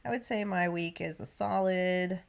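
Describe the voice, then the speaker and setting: A woman with a lowish voice and a pronounced creak chats casually as a podcast co-host. She speaks reflectively, pausing to search for how to put things. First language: English. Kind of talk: casual conversational chat.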